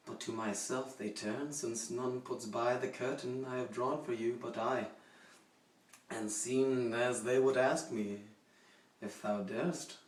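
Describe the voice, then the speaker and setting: A man playing a haughty, possessive, coldly jealous duke in a theatrical, deliberate dramatic poetry recitation. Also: medium pitch, close to an everyday voice. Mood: cold, musing. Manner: theatrical, deliberate, swinging from hushed to forceful. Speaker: poetry reader. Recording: roomy echo, distant microphone.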